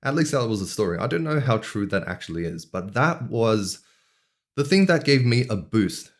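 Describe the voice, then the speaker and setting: A man's voice: on the low side, very animated. Earnest young man. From Australia. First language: not English. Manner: measured, earnest storytelling with deliberate pauses. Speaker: vlogger. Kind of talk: reflective personal monologue.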